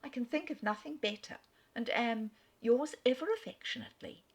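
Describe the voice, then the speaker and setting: A woman's voice: fairly high pitch, brisk, slightly rough, pitched above the performer's own voice. A woman voicing a pert young Georgian lady reading out her own letter in an arch, self-satisfied tone.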